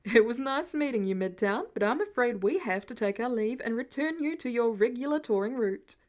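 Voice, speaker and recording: A commanding middle-aged billionaire inventor, played by a woman for an audiobook. He speaks briskly, with breezy, casual authority. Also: fairly high pitch, lively intonation, close to an everyday voice.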